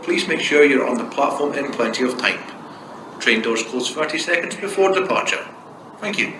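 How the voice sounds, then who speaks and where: Male announcer, medium pitch, scripted passenger information on a noisy, reverberant station tannoy. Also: animated, moderate pace. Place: railway station, heard over public address speakers. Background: station ambience and noise.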